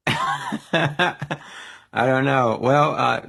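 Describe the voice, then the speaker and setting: A man's voice: mid-range voice, very slow, gravelly, sharp tone. A man from the Philadelphia area, speaking as a guest in a casual interview, easygoing, laughing often and answering in short agreeing replies. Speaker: podcast guest, singer.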